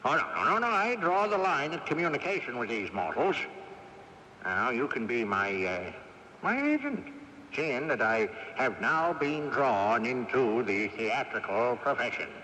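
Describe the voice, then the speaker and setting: An actor playing a proud, crafty old ghost with a theatrical, indignant manner in a radio drama. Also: male voice, mid-range voice, sing-song, a little slow, bright, forceful tone. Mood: grudging, haughty. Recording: noisy AM radio tape, long reverb.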